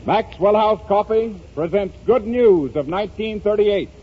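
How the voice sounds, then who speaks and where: A man's voice: high-pitched, measured pace, slight background noise. An American man announcing a variety program in 1930s radio style. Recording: muffled 1930s radio recording. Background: loud music.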